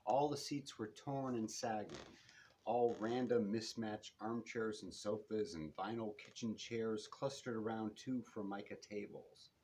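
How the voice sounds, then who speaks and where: Low-voiced man reading a novel aloud. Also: unhurried, darkish tone, slight background noise, poor audio. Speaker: author reading own novel. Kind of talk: audiobook-style reading of first-person detective fiction. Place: live reading in a bookshop.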